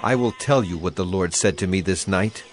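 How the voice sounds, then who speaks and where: An actor playing a stern old prophet in a dramatized scripture reading, grave and declamatory. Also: male voice, low-pitched, normal speed, soft background music, lightly acted. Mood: stern, reproachful. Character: stern old male Hebrew prophet rebuking a king.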